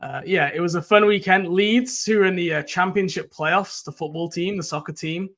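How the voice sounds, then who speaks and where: A young English man hosting a sports podcast in casual chat, his voice croaky. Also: high-pitched, moderate pace, fairly bright tone. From England. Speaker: podcast host.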